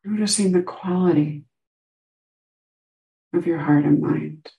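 A woman teaching a guided meditation, giving gentle instructions with long pauses between short phrases. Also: low-pitched, gravelly, muffled recording.

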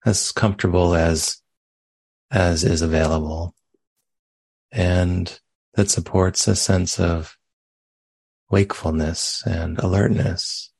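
A man leading a guided meditation as a teacher, speaking gently and unhurriedly with long pauses. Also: sits low, fairly even intonation, gravelly, slightly rough, no echo.

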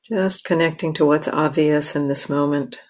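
A woman meditation teacher leading a guided meditation in short, measured phrases with long pauses. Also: sits low, steady tone.

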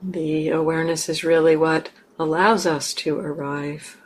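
A woman meditation teacher leading a guided meditation gently and haltingly, in short phrases with long pauses. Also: low voice, steady tone. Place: meditation center hall. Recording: clear background noise, room ambience.

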